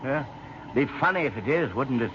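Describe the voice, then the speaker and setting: An actor playing an affable, chummy Australian army lieutenant with clipped, slangy officer's talk, in old-time radio drama style. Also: male voice, medium pitch, expressive, moderate pace, natural voice. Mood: musing. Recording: noisy, muffled old radio recording.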